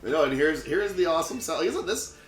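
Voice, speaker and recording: A man's voice: fairly high pitch, lively intonation. An enthusiastic man in a casual movie podcast chat, agreeing often and gushing about a film he loves. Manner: enthusiastic fan, lots of quick agreement. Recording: roomy echoey mic.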